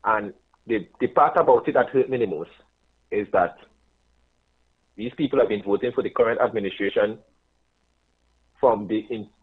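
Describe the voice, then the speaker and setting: A man in his thirties with a Tobagonian accent, calling into a radio show and speaking earnestly with halting restarts. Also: lowish voice, slightly rough. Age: 30s. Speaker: caller. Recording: phone line.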